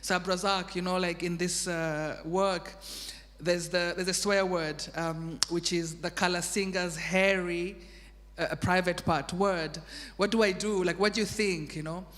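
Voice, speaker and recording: A woman's voice: fairly low pitch, fairly even intonation, unhurried, fairly bright tone. A woman from Zanzibar whose first language is Swahili, speaking English as a translator in a panel discussion, thoughtful and laughing easily, with many restarts. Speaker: literary translator. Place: festival stage in a reverberant hall. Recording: long hall reverb.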